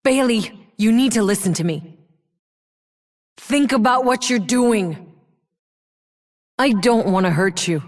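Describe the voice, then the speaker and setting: A woman voicing a tough, wry female soldier in a sci-fi video game, with gruff, sardonic, casual swagger. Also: fairly high pitch, lively intonation, slow, long pauses, no echo, clean studio sound. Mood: urgent.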